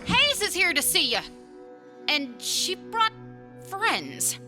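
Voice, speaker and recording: A woman's voice: extremely high pitch, big pitch swings, slow, exaggerated voice. A woman playing a childlike, casual and cheeky young barmaid in a fantasy audio drama. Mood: hesitant calling. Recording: loud music behind.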